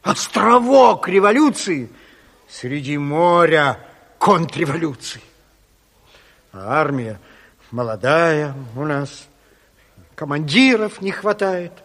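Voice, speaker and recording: An actor playing an anxious, pedantic party lecturer, fretful and fussy, in a theatrical Soviet radio drama. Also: male voice, on the high side, sing-song, slow pace, long pauses, a bit bright, exaggerated voice. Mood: gloomy, alarmed. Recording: reverberant studio of 1970s radio play.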